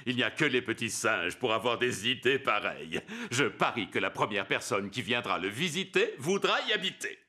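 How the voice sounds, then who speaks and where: A man acting an excitable, exclamatory adult character in a dubbed children's cartoon. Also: mid-range voice, moderate pace, hardly any pauses. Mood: grateful. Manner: exclamatory, expressive.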